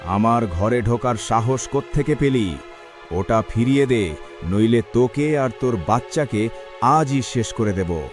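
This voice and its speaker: A man's voice: mid-range voice, lively intonation, unhurried, soft background music, close to an everyday voice. A man playing a menacing, possessive villain in a cartoon drama, speaking in threats and accusations. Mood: angry menace. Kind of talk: dramatic animated villain acting.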